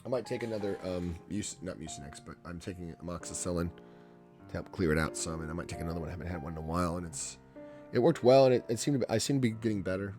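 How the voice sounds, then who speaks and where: A man's voice: deep voice, fast, over music. Congested, chatty man streaming while painting. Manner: rambling, chatty, many restarts and asides to chat. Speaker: painter and livestreamer. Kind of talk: casual live-stream chat while painting. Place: home art studio.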